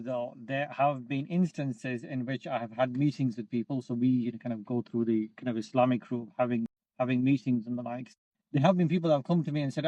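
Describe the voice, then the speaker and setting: A man talking in a casual podcast discussion, thoughtful and measured, with frequent fillers as he works through his view. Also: a little high, mellow, no room sound, low-quality recording. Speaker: podcast co-host.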